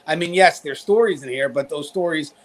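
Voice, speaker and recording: High-voiced man hosting a talk show. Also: a little fast, poor audio. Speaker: show host, corrections professional. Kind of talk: conversational show hosting, addressing viewers.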